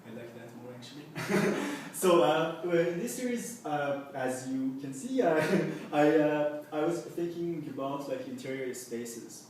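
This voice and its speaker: A man's voice: on the high side, a little mellow, a little hiss or hum. A young man whose first language is Farsi, an artist talking about his paintings off the cuff, hesitant, with many filler words and restarts, in an exhibition space. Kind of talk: unscripted gallery talk. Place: art exhibition space indoors. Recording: very echoey room, voice from far off.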